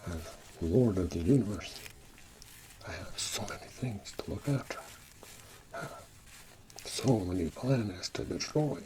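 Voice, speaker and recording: A man playing an absurd, scatterbrained space-villain overlord in an ASMR parody roleplay, murmuring and whispering in a soft, halting, mock-pompous ramble. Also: low-pitched, background noise, exaggerated voice. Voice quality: soft, creaky voice dropping into whispers.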